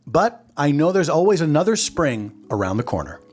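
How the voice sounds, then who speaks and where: An actor playing a burly middle-aged florist in an audio sitcom, folksy and philosophical, dispensing gentle wisdom. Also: male voice, on the high side, sing-song, normal speed, soft background music. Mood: wistful, wise. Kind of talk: sitcom audio drama acting.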